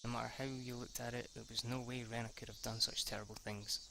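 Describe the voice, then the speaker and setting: A man reading visual novel narration aloud for a let's play, a bit halting, with sweary asides. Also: medium pitch, level tone, creaky, noisy background. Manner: halting, stumbles over words, sweary asides.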